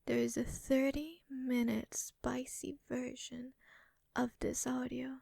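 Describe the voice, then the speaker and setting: A woman's voice: high-pitched, little pitch movement, slow pace, gravelly, dark tone, no echo, studio quality. A woman who creates ASMR audio, giving a whispered sign-off to listeners.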